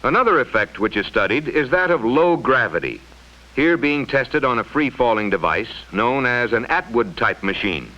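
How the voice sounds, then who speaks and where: A man reading scripted mid-century educational film narration in a formal, measured, explanatory way, on a vintage soundtrack. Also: medium pitch, animated, sharp tone, highs cut off.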